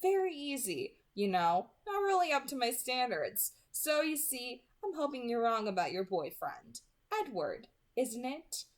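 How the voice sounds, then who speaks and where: A woman's voice: a little high, very animated, unhurried. Woman reading as a smug, genteel male vampire hunter. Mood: gloating. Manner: genteel, sarcastic, taunting. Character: gloating, mockingly polite male vampire hunter.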